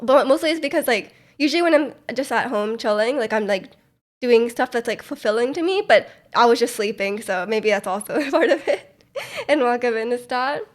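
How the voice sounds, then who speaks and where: A woman's voice: a little high, expressive, a little fast. A young woman streamer with a stuffy, congested voice, chatting casually and rambling with lots of fillers. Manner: rambling, many "like" fillers, soft laughs. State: congested, nasal from a cold. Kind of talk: casual chat to live chat.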